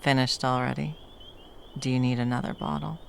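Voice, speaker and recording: A woman playing a battle-worn monster hunter, gruff but tenderly protective, in an intimate ASMR roleplay with soft teasing and drops into whispers. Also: very deep voice, steady tone, normal speed, slight background noise, full character voice. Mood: caring, relaxed.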